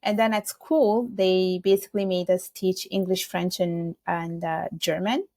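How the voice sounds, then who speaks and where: A woman's voice: on the low side, fairly even intonation, unhurried, warm tone, no echo. Earnest woman guest, Bengali native. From Italy. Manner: earnest, reflective, gives advice. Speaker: podcast guest. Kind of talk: casual interview chat.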